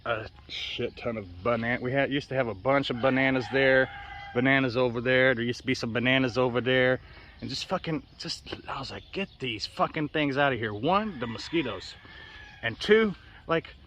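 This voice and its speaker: An American man chats in an offhand, rambling vlog style with plenty of swearing. His voice is bright and sharp, at an average pitch for a man. He is recorded outdoors, with birds and roosters in the background noise. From United States. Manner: profane, offhand. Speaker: vlogger. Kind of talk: casual rambling vlog chat. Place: outdoors in a yard in the Philippines. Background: birds, roosters and outdoor ambience.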